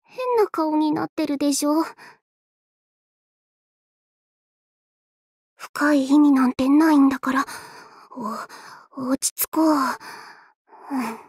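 A shy young female android fighter from an anime game. The voice is high and unhurried, recorded very dry. The delivery is anxious and earnest, with timid, stammering hesitations. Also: darkish tone, no echo. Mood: flustered, bashful. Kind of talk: Japanese anime game voice lines.

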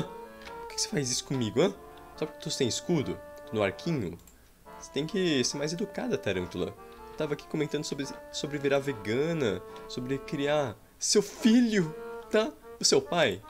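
A young man with a Brazilian Portuguese accent giving playful, rambling gameplay commentary with roleplay jokes and sudden excited outbursts, recorded indoors at home. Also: medium pitch. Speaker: gameplay streamer. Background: faint game music.

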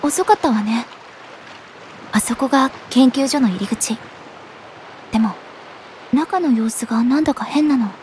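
A woman voicing an earnest, pensive young woman in an anime-style game, speaking in a serious, measured way. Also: on the high side, a little mellow, slight background noise, lightly acted. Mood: alert. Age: young adult. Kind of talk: Japanese anime game voice acting.

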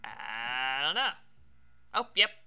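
A woman's voice: rumbling low, very animated, slow pace, bright, forceful tone, smooth. A woman doing casual Let's Play commentary, chatting and thinking aloud as she plays. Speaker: streamer playing a video game.

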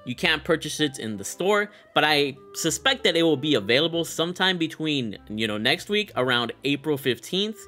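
Casting: young male gaming creator, bright forceful voice, casual slangy enthusiasm, music underneath. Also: fairly high pitch, big pitch swings, normal speed, hardly any pauses. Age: young adult. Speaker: gaming content creator and host. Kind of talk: casual excited game bundle showcase commentary.

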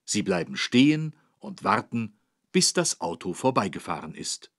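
A man playing a patient, friendly instructor, narrating for children in an encouraging, didactic way with a husky voice. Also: on the low side, very animated, moderate pace, fairly bright tone, dry recording, studio quality. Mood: instructive. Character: friendly, patient adult man teaching children road safety. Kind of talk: scripted children's educational narration.

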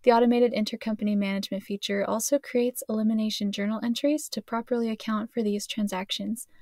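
A woman's voice: on the high side, level tone, quick pace, darkish tone, no echo. A woman reading a scripted explainer as a tutorial narrator, instructional and precisely enunciated, in a close voice-over recording.

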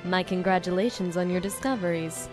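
A woman's voice: lowish voice, brisk, music in the background, lightly acted. A woman playing a calculating, self-assured female scientist villain in an anime dub, with composed, coaxing delivery. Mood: proud. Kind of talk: dramatic anime dub acting.

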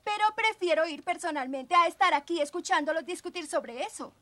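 A woman's voice: very high voice, expressive, brisk, sharp tone, heavily acted. A woman voicing a brave, self-possessed young girl in a Spanish cartoon dub, firm and matter-of-fact. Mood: resolute.

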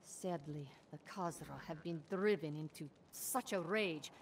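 A woman's voice: low-pitched, unhurried, a little hiss or hum. An actress playing an earnest, devout female warrior monk in a fantasy video game, speaking formally. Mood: troubled. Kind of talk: dramatic scripted video game dialogue. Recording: loud game music behind the voice.

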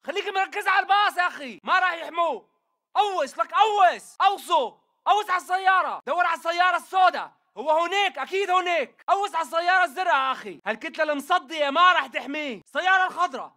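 An aggressive militant in a shooter game, barking urgent battle callouts with a Levantine Arabic accent. His voice is strained very high and rattles along fast, with a bright, forceful edge. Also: exaggerated voice. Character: hostile militant fighter barking combat callouts. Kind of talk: shouted video game battle chatter.

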